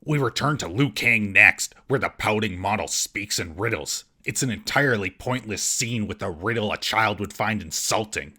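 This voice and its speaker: A man's voice: fairly low pitch, pushed and bright, breathy edge. A man narrating a scripted comedic movie roast as host, in a sarcastic, mocking manner.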